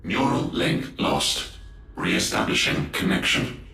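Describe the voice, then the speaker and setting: An actor voicing an emotionless ancient defence system in a video game, making formal, impersonal announcements. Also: male voice, extremely low pitch, very animated, takes it slowly, gravelly, bright, forceful tone, echoey room, faint music behind, heavily acted. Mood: cold, impassive. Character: cold ancient machine defence system, male-voiced, emotionless.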